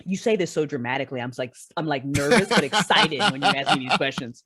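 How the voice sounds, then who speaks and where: A woman talks casually as a guest on a podcast. Her way of speaking is informal and effusive, and she is quick to laugh. The recording is dry, without room echo. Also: low-pitched, lively intonation, fairly bright tone, breathy edge. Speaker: podcast guest, writer and audio fiction creator. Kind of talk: casual chat.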